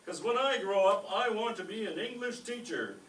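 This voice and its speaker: Man playing high-voiced grudging school principal, echoey stage. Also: animated, normal speed, fairly bright tone. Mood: reading aloud. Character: gruff, grudging school principal playing a jolly Santa Claus. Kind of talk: old-time radio comedy acting. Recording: echoey room, distant mic, faint noise.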